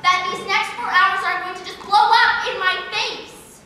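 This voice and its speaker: A woman's voice: extremely high pitch, steady tone, normal speed, bright, forceful tone. A woman playing a bossy, melodramatic teenage girl in an amateur stage play, with a theatrical, insistent delivery. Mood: smug, demanding. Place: stage performance in a large hall. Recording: echoey hall, distant mic, faint noise.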